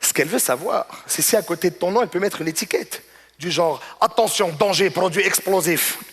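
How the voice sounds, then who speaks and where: A male comedian with a high, bright and forceful voice works a gala stage. He tells his stories conversationally, speaking straight to the audience. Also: lively intonation, fast, husky, big-room sound. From Morocco or Moroccan family in Quebec. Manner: conversational, addresses audience directly. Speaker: stand-up comedian. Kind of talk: stand-up comedy storytelling.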